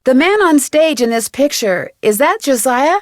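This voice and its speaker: A woman's voice: high voice, expressive, normal speed, a little hiss or hum. An actress voicing a curious teenage girl detective, polite and inquisitive. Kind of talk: video game voice acting.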